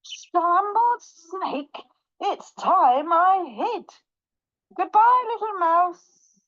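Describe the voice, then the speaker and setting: A woman voicing a sly, sweet-talking but cowardly male snake in a children's storybook, with drawn-out exclamations. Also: mid-range voice, big pitch swings, dull audio. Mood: alarmed. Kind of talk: children's storybook read aloud.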